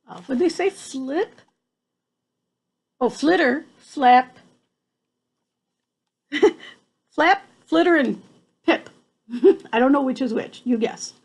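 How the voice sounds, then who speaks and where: An older woman reading a picture book aloud to a child, expressive, with casual asides and exclamations. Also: sits high, creaky.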